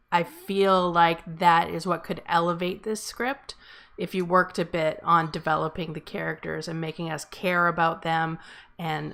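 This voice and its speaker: A woman's voice: lowish voice, creaky, a bit bright. A woman hosting and giving casual script feedback in an encouraging but frank way. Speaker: host and amateur screenwriter giving feedback.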